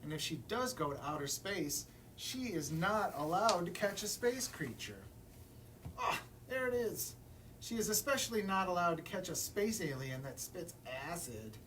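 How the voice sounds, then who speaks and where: A man's voice: high-pitched, lively intonation, normal speed, fairly bright tone. A man reading a children's picture book aloud as a librarian at story time, expressively, putting questions to young listeners. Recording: distant mic, room noise.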